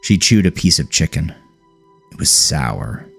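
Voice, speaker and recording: A man's voice: very deep voice, sing-song, a little slow, gravelly, a bit bright, soft background music. A man reading scripted horror fiction as an audiobook narrator.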